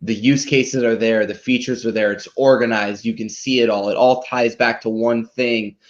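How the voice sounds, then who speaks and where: A man's voice: medium pitch, steady tone, moderate pace. A man hosting a live show, casually presenting and critiquing in an emphatic, informal way, often tagging points with a question for agreement. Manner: peppers talk with tag questions seeking agreement. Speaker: host of a live marketing show. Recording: dull lo-fi audio.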